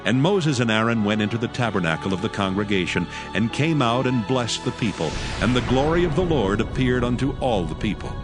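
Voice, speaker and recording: A man narrating scripture from a script in a solemn, measured reading. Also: medium pitch, expressive. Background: background music.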